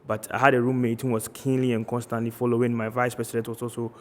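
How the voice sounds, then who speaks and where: 20s ghanaian guy being interviewed on tv, average pitch, bit hesitant, echoey studio. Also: little pitch movement, fast talker. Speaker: interview guest, medical student leader. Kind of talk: TV interview answers.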